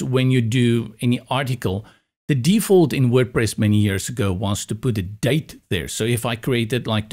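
A man's voice: mid-range voice, a little mellow. A South African man whose first language is Afrikaans, explaining a website setup step by step in a patient screen-recorded tutorial. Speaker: web design instructor.

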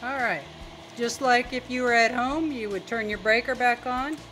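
A woman's voice: on the high side, fairly even intonation, moderate pace, music in the background, low-quality recording. A middle-aged woman giving a casual, plainspoken, step-by-step tutorial as a DIY video host. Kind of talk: casual hands-on tutorial narration.

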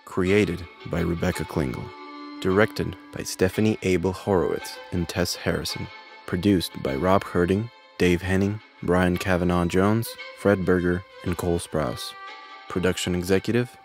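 A man with a very low voice reads a scripted list of credits. He works as an announcer, with faint music playing underneath.

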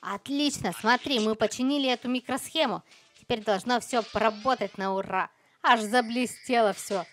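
A woman with a high voice commentates casually on a game for little kids. She sounds chirpy and exclamatory, sprinkling in playful diminutives as she speaks straight to her young viewers. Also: normal speed, fairly bright tone, faint music behind. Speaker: host of a children's gaming channel.

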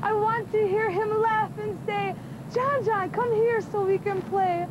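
A woman's voice: fairly even intonation, dull audio. A sixteen-year-old young woman playing a brave, grieving little boy in a plaintive dramatic verse monologue. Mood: anguished. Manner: slow, plaintive recitation. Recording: noisy outdoor camera recording with rumble.

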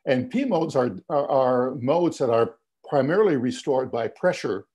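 Older male lecturer on a video call, warm and slightly raspy, patient explainer, voice fading. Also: mid-range voice, expressive, a little slow. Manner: explanatory, many "you know" fillers and restarts. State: losing his voice, coughing. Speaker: physics lecturer. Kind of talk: webinar lecture over slides. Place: home office, indoors.

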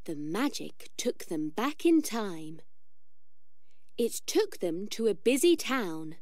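A woman reading a children's storybook aloud as scripted narration, deliberate and carefully phrased. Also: medium pitch, sing-song, a little mellow, dry recording, clean studio sound. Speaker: storybook reader for young learners.

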